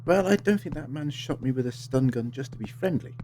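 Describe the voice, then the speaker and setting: An actor playing a brisk, articulate time-traveling adventurer in an audio drama, with a measured, wryly reassuring manner. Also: male voice, medium pitch, lively intonation, warm tone, a little hiss or hum, lightly acted.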